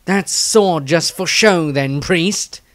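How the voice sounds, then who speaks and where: Fantasy audiobook part: gruff, menacing male rogue acted by a male reader, very slow scornful drawl, dry sound. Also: on the high side, fairly bright tone, no room sound, voice pushed up.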